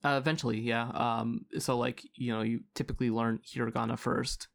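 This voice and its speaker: A man's voice: medium pitch, flat delivery, brisk, gravelly. A man co-hosting a podcast in casual chat, hesitant with many fillers and restarts. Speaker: podcast co-host.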